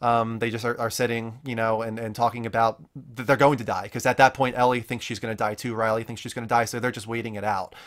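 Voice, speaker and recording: A man's voice: medium pitch, level tone, quick pace, few pauses, a bit bright. A man chatting casually as the host of a gaming podcast.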